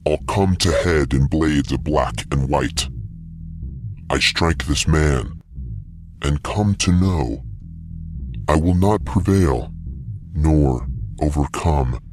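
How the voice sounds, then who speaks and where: A man playing a grim, brooding warrior who solemnly recites dark verse in an audio drama. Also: a bass voice, exaggerated voice. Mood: solemn, intense. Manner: slow, solemn, ominous delivery.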